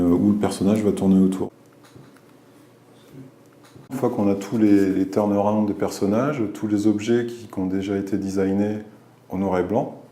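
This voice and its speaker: Deep dark-voiced man in a roomy space. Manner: hesitant, repeats words, many fillers. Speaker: animation designer. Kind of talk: documentary interview explanation.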